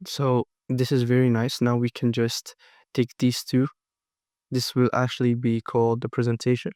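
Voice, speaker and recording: A man with a cold walking through a design tutorial, unhurried and thinking aloud, his voice sometimes cracking. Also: medium pitch, monotone, a little mellow, no room sound, studio quality. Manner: unhurried, thinking aloud with frequent fillers and restarts. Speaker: design course instructor. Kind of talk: screen-recorded software tutorial.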